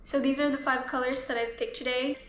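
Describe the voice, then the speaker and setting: A woman's voice: high voice, fairly quick, a little hiss or hum. Chatty woman in her twenties, echoey studio. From Philippines. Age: 20s. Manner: chatty, relaxed, frequent "like" fillers. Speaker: artist vlogger. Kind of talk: casual vlog chat. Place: art studio. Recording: echoey room with faint music.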